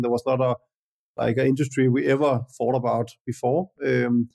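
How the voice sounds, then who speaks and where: A man from Denmark, speaking English as a non-native speaker, calmly answers interview questions as a guest entrepreneur with frequent "uh" and "like" hesitations. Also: medium pitch, gravelly. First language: Danish. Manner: measured, many "uh" and "like" hesitations.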